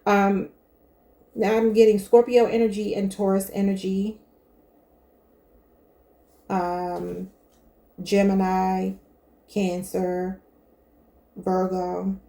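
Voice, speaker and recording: A woman reads tarot cards and chats straight to her viewers. Her voice is mellow and warm, at a middle pitch. She talks loosely and thinks out loud. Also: level tone, takes it slowly, pauses often. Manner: chatty, thinking aloud, addresses viewers as y'all. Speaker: tarot reader. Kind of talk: casual tarot reading to camera.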